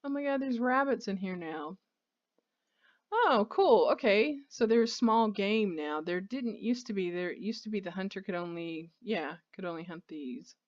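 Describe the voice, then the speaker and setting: A woman's voice: mid-range voice, lively intonation, measured pace, highs cut off. A woman giving casual let's play commentary on a video game, rambling and thinking aloud as she plays.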